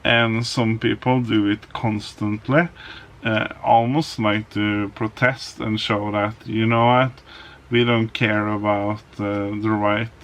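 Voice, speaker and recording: A man with a Norwegian accent, a native Norwegian speaker, talking hesitantly in a casual vlog with many pauses and restarts. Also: lowish voice, level tone, fairly bright tone. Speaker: vlogger.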